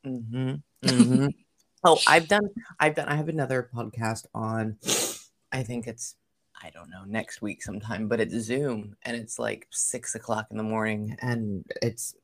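A woman guest on a podcast who has just woken up, talking in a low, sleepy voice with a bubbly, joking manner over a remote recording. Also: fairly even intonation. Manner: bubbly and jokey, quick asides. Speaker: podcast guest, psychic medium.

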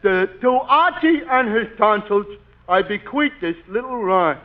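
A dopey high-voiced dimwit. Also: male voice, expressive. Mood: proud. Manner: slow, dopey drawl. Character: dim-witted, slow, good-natured man. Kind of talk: 1940s radio sitcom acting. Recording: dull old radio broadcast.

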